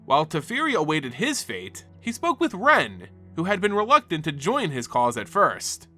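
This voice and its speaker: A man's voice: on the high side, wildly swooping pitch, moderate pace, pushed and bright. A man reading scripted fantasy lore as a host and narrator, with a theatrical, earnest storyteller delivery. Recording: music behind the voice. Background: background music.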